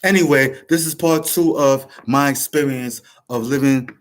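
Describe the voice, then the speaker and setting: Male vlog host, mid-pitched, rambling and repetitive with many restarts. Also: steady tone, normal speed. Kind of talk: casual motivational monologue.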